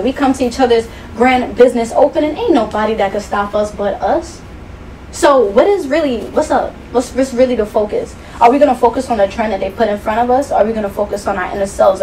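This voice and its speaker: A woman's voice: on the high side, darkish tone. A woman from New York, a guest musician, who speaks emphatically and a little preachily in a talk-show conversation. She repeats herself and fills her speech with fillers. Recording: distant mic with faint noise.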